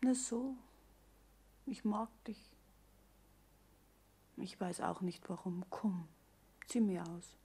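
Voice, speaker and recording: A woman's voice: mid-range voice, slow pace, long pauses, dark tone, husky. An actress playing a pleading young woman in a naturalistic radio play, intimate and pressing. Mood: intimate, coaxing. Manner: pleading, intimate, often dropping to a whisper. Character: pregnant young woman pressing her lover to marry.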